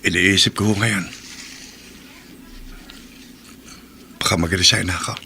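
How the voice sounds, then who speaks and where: A man's voice: low-pitched, fairly even intonation, quick pace, vocal fry, sharp tone, slight background noise, heavily acted. An actor playing a troubled soldier son in a radio drama, earnest and respectful as he speaks of his doubts. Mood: resigned. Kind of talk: Tagalog radio drama acting.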